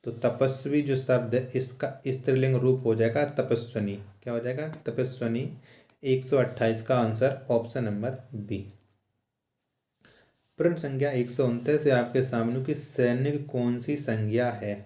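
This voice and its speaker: Mellow-voiced male teacher, echoey room. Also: mid-range voice, steady tone, unhurried. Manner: repetitive, poses questions then repeats answers for emphasis. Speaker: exam-prep Hindi grammar teacher. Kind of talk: instructional question-and-answer walkthrough. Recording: roomy, echoing sound.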